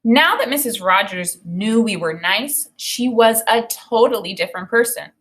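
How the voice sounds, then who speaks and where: A woman in her thirties with an American accent, teaching through an expressive read-aloud with spoken commentary on the story. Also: medium pitch, moderate pace. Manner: expressive reading with acted dialogue.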